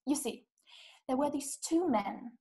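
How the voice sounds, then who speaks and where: High female voice, agitated entitled wife, acted by a young woman, complaining and self-pitying, theatrical drama, roomy laptop call sound. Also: lively intonation, measured pace, warm tone. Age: young adult. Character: anxious, demanding, self-pitying invalid wife. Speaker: actress. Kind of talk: stage acting in a radio-play adaptation. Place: small room indoors. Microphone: webcam video call.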